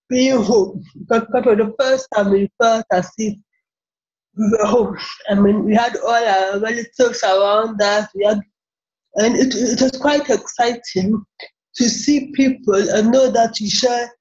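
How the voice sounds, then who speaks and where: A Ghanaian woman in her forties, a software engineer talking about herself haltingly, with many repeated words, on a video call from a small office. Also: on the high side, fairly even intonation. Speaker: interview guest, software engineer. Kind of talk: casual interview talk. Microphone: laptop webcam on a video call. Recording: muffled video call audio.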